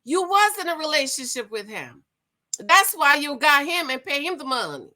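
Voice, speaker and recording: A woman's voice: high voice, sing-song, moderate pace, bright, forceful tone. A woman in her forties giving sassy, chatty commentary as a vlogger and talking straight to her viewers. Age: 40s. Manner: sassy, chatty, addresses viewers as girl and y'all. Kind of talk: casual gossip commentary.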